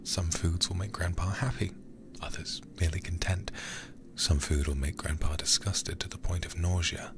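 A man streaming in a hushed ASMR style, reading horror game text deliberately into a close microphone. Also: deep voice, fairly even intonation, gravelly, noisy background.

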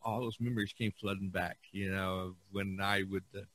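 A man hosting a podcast in casual chat, speaking haltingly and repeating words as he searches for phrasing. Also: deep voice, little pitch movement, fairly bright tone, a little hiss or hum. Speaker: podcast host.

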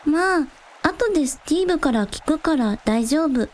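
Woman playing breezy high-voiced young village idol. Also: expressive, normal speed, darkish tone. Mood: curious, casual. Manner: breezy, slangy, matter-of-fact. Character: casual, blunt young village idol girl. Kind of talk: Japanese radio drama acting.

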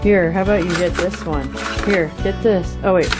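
A woman's voice: on the low side, moderate pace, over music. A woman talking casually to young children in sing-song baby talk, as a mother filming at home. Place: indoors in a home kitchen and dining area.

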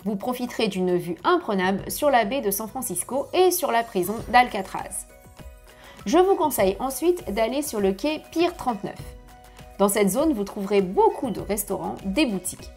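A woman in her thirties giving travel tips to camera from her home office, lively and chatty, on a lapel mic. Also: on the high side, normal speed, faint music behind. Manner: lively, chatty, gesturing with hands. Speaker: family travel vlogger. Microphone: clip-on lapel mic.

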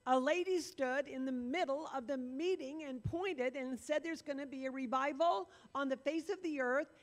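A woman's voice: high voice, normal speed. An older woman minister preaching earnestly in a church meeting room, stretching key words for emphasis. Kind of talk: sermon.

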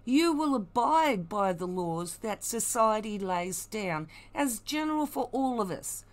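A woman's voice: on the high side, lively intonation, measured pace. A woman giving blunt, emphatic, mocking commentary, unscripted and opinionated. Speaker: online commentator.

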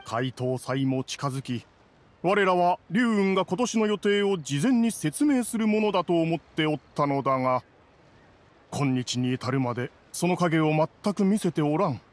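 A man playing a dignified, grumbling immortal in human guise in an anime game, with formal, archaic and measured speech. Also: a little high, lively intonation, natural voice. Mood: resigned.

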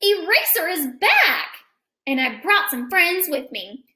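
A woman's voice: very high voice, very animated, slow, bright, forceful tone, roomy sound, full character voice. An American woman voicing an earnest, unappreciated little female eraser from a children's storybook. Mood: triumphant. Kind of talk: children's storybook character reading.

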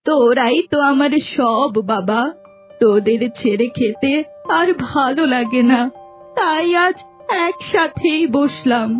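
A woman playing a gentle, wronged old mother-in-law with a plaintive manner in a cartoon melodrama, music underneath. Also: high-pitched. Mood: tender. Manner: slow, plaintive.

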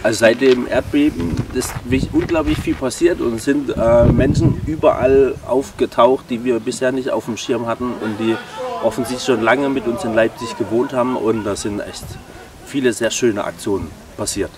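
A man's voice: mid-range voice, animated, moderate pace. A man chatting casually to camera outdoors as a member of a volunteer group. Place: open countryside outdoors. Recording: distant mic, noisy outdoor sound.

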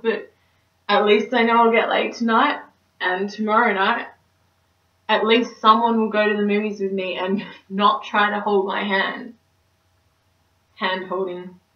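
A woman's voice: medium pitch, a bit bright. A young woman performing a stage monologue as a neurotic, melodramatic single woman, theatrical and anxious. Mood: wry, exasperated. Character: neurotic, dramatic single woman dreading dating. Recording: roomy, distant mic, slightly dull.

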